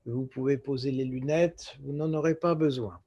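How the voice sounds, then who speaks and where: A man guiding a relaxation meditation as a yoga nidra teacher, speaking in a calm, measured way with short phrases and pauses. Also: mid-range voice, husky, muffled recording. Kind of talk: guided relaxation meditation instruction.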